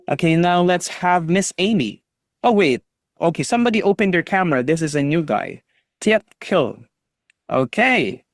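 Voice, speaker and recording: A man's voice: fairly high pitch, creaky, no room sound, studio quality. A man teaching an online English class over a video call, patiently drilling students on pronunciation and encouraging them.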